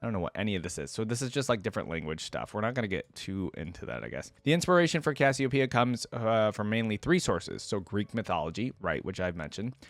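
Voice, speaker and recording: A man's voice: lowish voice, very animated, quick pace, few pauses, no room sound. Casual male reactor with a dry, close sound. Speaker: reaction video host. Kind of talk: casual reaction commentary, partly reading aloud from a wiki.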